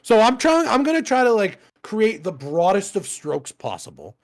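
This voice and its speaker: A man in his thirties talks through a casual podcast chat, rambling, swearing freely and laughing at himself. His voice sits on the high side and has a gravelly edge. His pitch swings widely from line to line. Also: wildly swooping pitch, moderate pace. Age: 30s. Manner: rambling, profane, self-mocking, laughs often. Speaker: podcast co-host.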